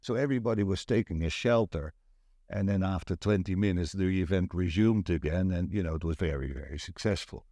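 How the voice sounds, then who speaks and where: A middle-aged or older man from the Netherlands with a Dutch accent, telling stories in a measured, deliberate way as an interview guest. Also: sits low, warm tone, no echo, clean studio sound. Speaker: networking event organiser, interview guest. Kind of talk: conversational interview, recounting.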